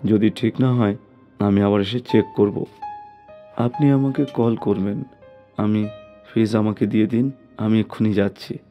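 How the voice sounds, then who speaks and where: A man's voice: fairly low pitch, fairly even intonation, unhurried, pauses often, muffled recording, faint music behind, lightly acted. A man voicing a businesslike male doctor who minds his fee in a cartoon story, with matter-of-fact delivery. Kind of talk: scripted cartoon story acting.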